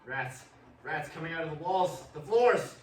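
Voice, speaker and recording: An actor playing an anxious, protective husband in a radio play, tense and urgent. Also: male voice, fairly high pitch, animated, moderate pace, natural voice. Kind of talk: amateur radio play acting. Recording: roomy, distant, faintly noisy stage recording.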